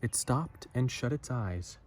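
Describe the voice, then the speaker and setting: A man reading a children's story aloud expressively, with dramatic pauses and asides to listeners. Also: mid-range voice, animated, a little mellow. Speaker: storybook reader.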